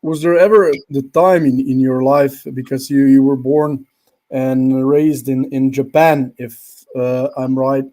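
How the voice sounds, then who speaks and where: A man whose first language is Slovene, hosting a livestream and asking interview questions in a halting way with many restarts over a video call. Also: mid-range voice, steady tone, warm tone, rough recording. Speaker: livestream host.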